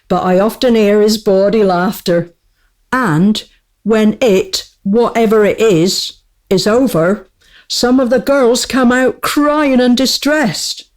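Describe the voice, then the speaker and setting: Woman playing a slow-spoken, witty little court jester. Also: medium pitch, darkish tone, close to an everyday voice. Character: small, witty court jester, irreverent confidant to the queen. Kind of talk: theatrical radio play dialogue, mock-courtly.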